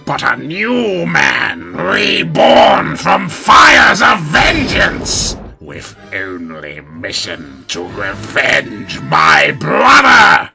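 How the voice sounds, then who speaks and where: Wildly swooping vengeful villain prince. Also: male voice, medium pitch, huge pitch swings, vocal fry, sharp tone, faint music behind, voice pushed up, heavily acted. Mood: vengeful fury. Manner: dramatic, halting, manic declamation. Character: vengeful mad villain prince, shouting, theatrical.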